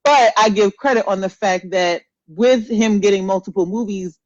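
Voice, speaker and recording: A woman's voice: medium pitch, normal speed, no echo. Muffled, emphatic woman on a casual podcast. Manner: emphatic, repeats phrases to drive points home. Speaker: podcast co-host. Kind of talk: casual opinionated chat. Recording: dull, lo-fi close dry audio.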